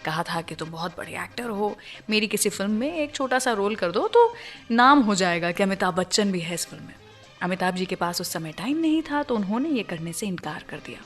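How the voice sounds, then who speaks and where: A woman presenting a radio show in a conversational storytelling style, putting teasing questions straight to her listeners. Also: medium pitch. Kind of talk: conversational radio show presenting, telling film music trivia.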